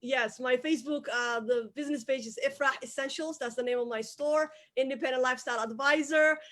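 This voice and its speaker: Lady, high voice, punchy, says things twice to drive them home. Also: fairly even intonation, brisk, a bit bright. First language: Somali. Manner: emphatic, repeats key phrases for emphasis. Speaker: guest, business owner. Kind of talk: motivational interview talk.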